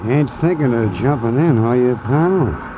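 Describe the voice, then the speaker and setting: An American actor playing a chummy, nosy waterfront drifter, slangy and excitable, in 1940s radio style. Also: male voice, mid-range voice, normal speed, natural voice. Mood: chummy, curious. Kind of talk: 1940s radio character acting. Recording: muffled old radio, noisy background.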